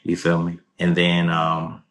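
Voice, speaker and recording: A man answering interview questions in a relaxed, rambling way, full of fillers and restarts. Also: deep voice, unhurried. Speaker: guest.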